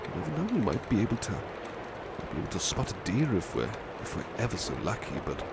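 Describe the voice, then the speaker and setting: Man acting a gentle low-voiced butler, noisy background. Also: creaky. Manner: halting, softly reassuring, formal and polite. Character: huge, gentle, devoted male butler, fanged, red-eyed. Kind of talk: ASMR roleplay, soothing personal attention.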